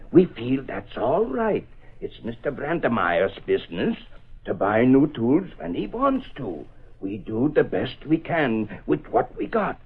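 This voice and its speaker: An actor playing an earnest, plain-spoken laborer with colloquial speech in a 1930s radio drama. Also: male voice, on the high side, breathy edge, full character voice. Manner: halting, colloquial working man's speech. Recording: muffled band-limited old radio recording.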